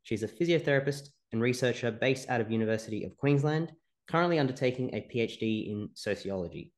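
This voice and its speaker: A man's voice: medium pitch, animated, fast talker. A man hosting an interview podcast, upbeat and encouraging toward his guest. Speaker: podcast host.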